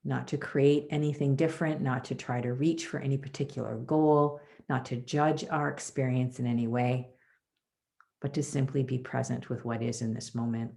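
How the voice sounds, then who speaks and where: Female meditation instructor, very deep register with gravelly fry, soothing and measured delivery for a guided body scan. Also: fairly even intonation, dark tone. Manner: soothing, measured, unhurried pauses between phrases. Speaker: meditation teacher leading a class.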